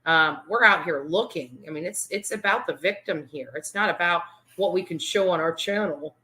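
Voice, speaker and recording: A woman speaks casually and without a script, her pitch a little low and her tone bright and forward. She is conversational and halting, often restating what she just said as she comments for an online channel. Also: husky, rough recording.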